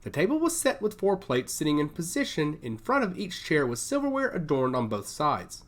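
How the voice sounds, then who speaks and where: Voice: medium pitch, huge pitch swings, moderate pace. Storyteller with widely swinging intonation. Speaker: audio story narrator. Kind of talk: scripted dramatic science fiction narration.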